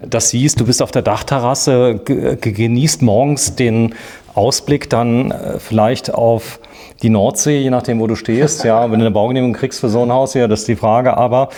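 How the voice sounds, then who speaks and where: A man's voice: medium pitch, fairly even intonation, normal speed, warm tone. A man presenting an informal, chatty walk-through interview in a show house, with a jokey manner. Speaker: TV presenter touring show homes. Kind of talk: casual interview while walking around. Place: walking around a show house.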